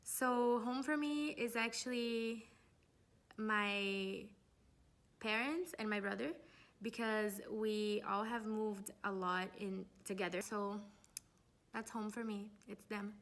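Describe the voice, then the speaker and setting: A woman's voice: mid-range voice, slow pace, fairly bright tone. Chatty young woman from Brazil. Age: young adult. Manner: chatty and playful, laughs often, many "like" fillers. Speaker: student interviewee. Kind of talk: casual chat to camera. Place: Paris.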